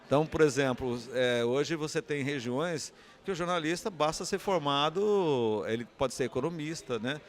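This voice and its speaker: A man's voice: fairly high pitch, animated, moderate pace. A man with a Brazilian Portuguese accent giving an unscripted interview answer, explaining and often posing rhetorical questions. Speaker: interviewee, communications academic.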